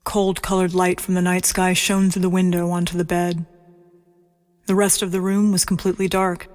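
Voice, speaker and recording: Measured female audio drama narrator. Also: on the low side, flat delivery, a little mellow.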